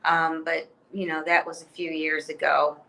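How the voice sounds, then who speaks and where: A woman, a former cheerleader, chatting as a guest on a video call interview, friendly and quick to laugh. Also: low-pitched, flat delivery, creaky, bright, forceful tone, poor audio. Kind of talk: casual interview chat.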